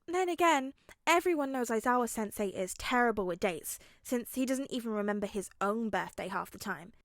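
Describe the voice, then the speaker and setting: A woman's voice: a little high, animated, moderate pace, dry recording, clean studio sound. A woman voicing an indignant young friend in a message chat, emphatic and full of questions. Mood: indignant, puzzled.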